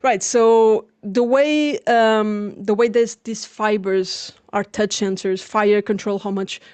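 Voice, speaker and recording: A woman's voice: medium pitch, steady tone, slow, darkish tone, smooth, no echo. A young adult woman with a Portuguese accent, a native Portuguese speaker, giving an informal academic lecture. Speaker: lecturer, PhD researcher.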